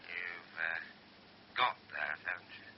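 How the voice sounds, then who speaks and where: An actor playing a menacing, taunting kidnapper speaking slangily down a telephone in a radio play. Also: male voice, deep voice, steady tone, slow pace. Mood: taunting menace. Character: menacing male kidnapper, sneering crook on the telephone. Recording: dull, hissy radio play, phone voice.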